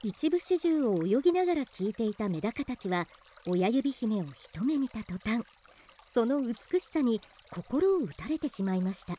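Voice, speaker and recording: A woman's voice: fairly high pitch, sing-song, normal speed, mellow, slight background noise. A woman narrating a fairytale radio drama in scripted storybook style.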